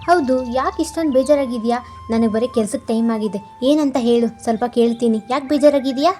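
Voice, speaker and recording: Woman as a caring young husband, high voice. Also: brisk, a little mellow, soft background music, close to an everyday voice. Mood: concerned. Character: caring, reasonable young husband, elder brother. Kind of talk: animated moral-story cartoon voicing.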